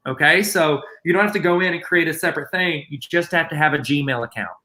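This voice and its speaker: Bright-voiced Southern man explaining YouTube. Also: on the high side. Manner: joking, chatty, self-deprecating asides. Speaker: guest presenter teaching teachers. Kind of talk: casual instructional webinar.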